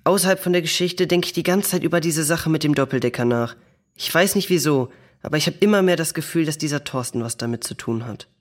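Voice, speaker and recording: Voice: medium pitch, fast talker, close to an everyday voice. A performer playing a curious, thoughtful youngster in a children's audio drama, speaking colloquially. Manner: colloquial, pondering.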